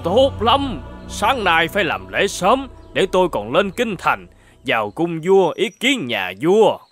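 High, excitable cartoon mandarin. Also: male voice, slow, soft background music, heavily acted. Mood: indignant. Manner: indignant, blustering, exclaiming. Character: pompous, excitable middle-aged male court mandarin. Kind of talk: animated folk-tale cartoon acting.

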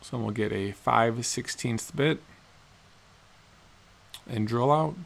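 A man in his forties, a guitar builder, calmly explaining each step of his work in a matter-of-fact how-to narration in his workshop. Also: mid-range voice, slow, gravelly. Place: cluttered workshop indoors.

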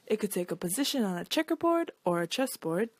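A woman reading a children's picture book aloud in an expressive storytelling style. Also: medium pitch, fairly quick, dry recording.

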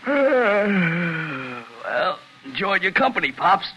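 An actor playing a carefree jazz musician who talks in hep slang, in 1940s radio drama. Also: male voice, sits high, big pitch swings, slow, highs cut off, full character voice. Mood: mellow, playful. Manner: hep slang, scat singing, chuckling. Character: carefree, tipsy-sounding jive-talking jazz musician, late at night. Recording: noisy old 1940s radio recording.

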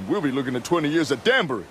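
Lively, foul-mouthed criminal sidekick. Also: male voice, on the high side, rapid-fire, a little hiss or hum. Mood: agitated. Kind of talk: video game cutscene acting.

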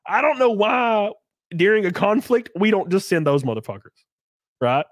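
A man in his thirties hosting a casual live stream from a small home room, close on a boom mic, joking crudely and sounding congested from a cold. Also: very animated, moderate pace, no echo. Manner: crude, joking, laughs often. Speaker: livestream host. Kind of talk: casual live-stream chat reading donations. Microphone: studio mic on boom arm, close.

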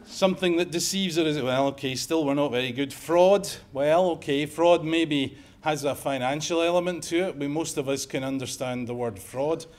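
A man's voice: fairly high pitch, normal speed, few pauses, a little mellow. Wry Scottish man giving a lecture. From Scotland. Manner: wry, joking, conversational lecturing. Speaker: emergency medicine professor giving a lecture. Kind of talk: conference talk. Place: lecture room.

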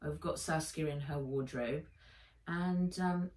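A middle-aged woman reading a children's story aloud as its author, with expressive, emphatic dramatic narration. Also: low-pitched, slow pace. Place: sitting room by a window, indoors. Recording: roomy, slightly distant home recording.

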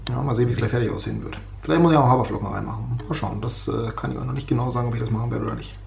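A man's voice: medium pitch, steady tone, racing, creaky, over music. A young man in his twenties, chatting casually in a weekly vlog with a slight cold, rambling and poking fun at himself, filmed on a camera held at arm's length. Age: 20s. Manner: chatty, self-mocking, rambling asides. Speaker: vlogger. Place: home kitchen and inside a car. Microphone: handheld camera at arm's length.

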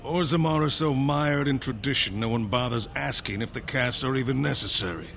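A wry, self-mocking dwarf lord. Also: male voice, medium pitch, a little fast, vocal fry, fairly bright tone, slight background noise. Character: sardonic rebellious male dwarf noble. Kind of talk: video game dialogue.

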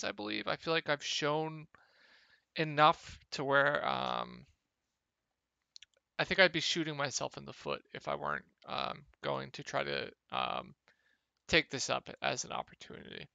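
A young man with a slightly hoarse, gravelly voice, casually talking through fantasy football picks over a screen share, with many ums. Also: on the high side, unhurried, no room sound, lo-fi sound. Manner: relaxed, lots of ums. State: a little hoarse. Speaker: fantasy sports analyst.

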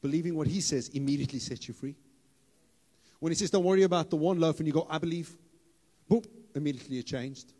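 A man delivers a sermon from a church stage, holding a handheld mic. His voice sits somewhat high and he shifts from easy, joking asides to loud, emphatic declarations. The hall adds a long reverb to every line. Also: quick pace. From South Africa. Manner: animated, playful, rises to loud emphatic bursts. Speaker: pastor.

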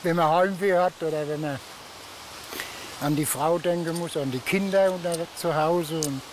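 A man's voice: a little high, normal speed, poor audio. An older man, a long-haul truck driver, talking hesitantly and sentimentally on a beach, often trailing off. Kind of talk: halting, emotional interview answers. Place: outdoors on a beach. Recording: outdoor beach with noise behind.

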